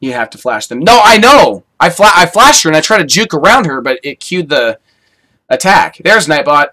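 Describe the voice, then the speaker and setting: A young man streaming a horror game, swearing and shouting in panic, talking into a headset in a small room. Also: fairly high pitch, big pitch swings, normal speed. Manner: swears a lot, yells in panic. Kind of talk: live horror game commentary.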